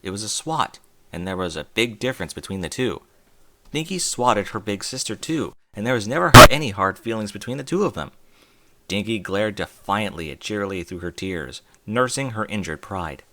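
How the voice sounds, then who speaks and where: Expressive low male storyteller. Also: moderate pace, heavy background noise. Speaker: narrator. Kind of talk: fan fiction audiobook reading.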